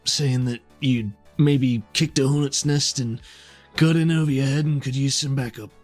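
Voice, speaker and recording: A man playing a large, easygoing man in a tabletop game, hesitant and gently teasing as he talks. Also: mid-range voice, steady tone, moderate pace, faint music behind, close to an everyday voice. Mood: sheepish, explaining. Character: Isaac, large square-built man, easygoing wry backup. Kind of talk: improvised tabletop roleplay.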